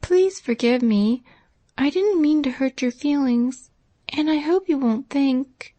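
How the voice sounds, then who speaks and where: A woman voicing an eager, excitable young girl of means who talks fast and earnestly. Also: on the high side, no room sound, pitched above the performer's own voice, natural voice. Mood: anxious.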